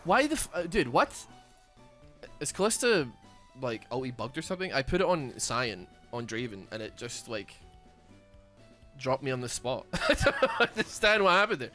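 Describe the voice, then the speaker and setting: A man's voice: medium pitch, sing-song, normal speed, sharp tone, faint music behind. A Scottish man with a Glaswegian accent and a lisp, mumbling and rambling in casual chat while streaming a game. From Scotland. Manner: mumbling, rambling with many fillers.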